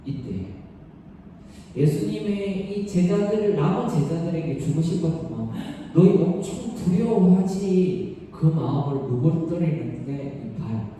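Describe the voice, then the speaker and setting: Echoey, distant, mellow male preacher. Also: on the high side, animated, measured pace. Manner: informal, blunt, with slangy rhetorical questions. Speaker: pastor preaching to young people. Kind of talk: conversational sermon with acted-out quotes. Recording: echoey hall, distant mic, faint noise.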